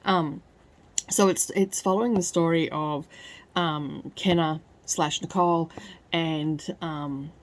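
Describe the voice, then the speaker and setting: Woman vlogger, a bit croaky, low-ish voice, talking quietly at home about a book. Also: steady tone, takes it slowly. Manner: hushed, soft-spoken so as not to wake others. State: croaky from crying. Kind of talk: casual book review vlog. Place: at home, early morning.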